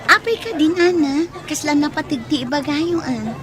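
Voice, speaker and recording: A woman's voice: high-pitched, normal speed, lightly acted. A woman playing a concerned young friend in radio drama, with an Ilocano accent. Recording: noisy outdoor crowd ambience behind.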